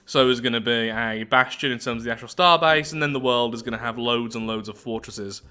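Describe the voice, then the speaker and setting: A man hosting a gaming video, mixing expressive commentary with read-aloud story text and theatrical asides. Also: medium pitch, a little fast, clear, resonant tone. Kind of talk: roleplay game commentary mixed with read-aloud event text.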